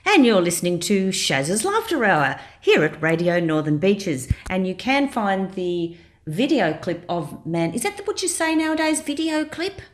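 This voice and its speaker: A woman's voice: mid-range voice, sing-song, normal speed. A woman hosting a radio comedy show, chatty and giggling as she questions her guest. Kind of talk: casual radio interview.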